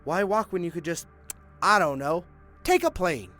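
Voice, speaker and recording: An actor playing a sarcastic detective who taunts a suspect in a mocking, drawn-out way. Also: male voice, high-pitched, sing-song, a bit bright, faint music behind. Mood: needling.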